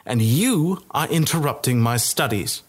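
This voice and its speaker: A man's voice: mid-range voice, lively intonation, unhurried, breathy edge, pitched above the performer's own voice. A man voicing a haughty young princess with an imperious manner.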